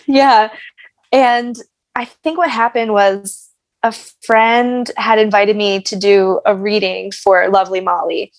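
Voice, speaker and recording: An American woman telling stories in a casual interview, animated and self-deprecating in her humour. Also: mid-range voice, slow, dry recording. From United States. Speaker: guest, actress and singer.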